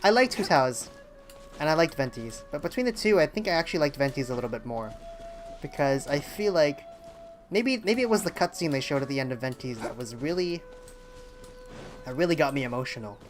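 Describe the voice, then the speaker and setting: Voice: medium pitch, animated, fairly quick, faint music behind. A game streamer chatting casually on a livestream, hesitant and rambling while thinking aloud. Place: indoors at a streaming setup.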